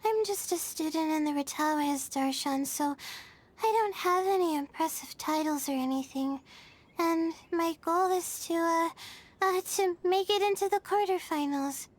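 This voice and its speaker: A woman voicing a timid, drowsy young female student in a video game, soft, girlish, hesitant and self-effacing. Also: extremely high pitch, a little slow. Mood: shy, hesitant.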